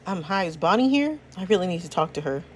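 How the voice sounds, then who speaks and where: A woman's voice: fairly low pitch, very animated, fast. A woman voicing an earnest, anxious young bad boy who has fallen in love, in a romance story read-aloud. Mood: pleading.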